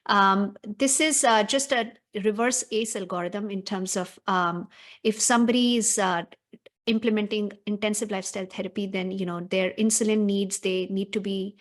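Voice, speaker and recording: A woman physician talks through a clinical approach step by step on a video call. Her voice sits at an ordinary pitch, and her delivery is brisk and technical, with frequent "uh"s between phrases. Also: steady tone. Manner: brisk, technical, with many filler sounds. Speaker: physician presenter. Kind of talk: webinar presentation.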